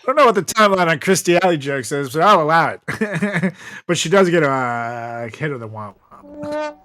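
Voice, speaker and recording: Man with very animated pitch, chatting casually. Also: normal speed. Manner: swears freely. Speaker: podcast host. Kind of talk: casual comedic podcast chat.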